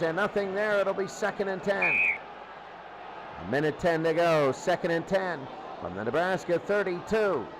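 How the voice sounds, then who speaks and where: A high-voiced man does live play-by-play for a football game with an excitable delivery. He follows each down over a clearly noisy recording. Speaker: play-by-play announcer. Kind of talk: live American football commentary.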